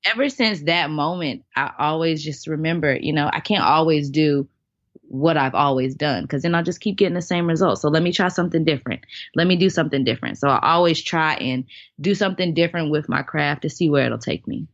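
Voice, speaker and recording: Casting: woman in her thirties, low voice, earnest storyteller, dry and dull sound. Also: fairly quick, no room sound. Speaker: guest, dancer and choreographer. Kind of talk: casual interview storytelling.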